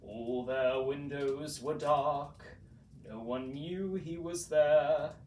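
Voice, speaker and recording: Slow, dramatic male storyteller in an echoey room. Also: on the high side, darkish tone. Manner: deliberate, dramatic, builds repetitions for emphasis. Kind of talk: theatrical storybook narration in imitation of a classic horror actor. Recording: roomy, distant mic, faint noise.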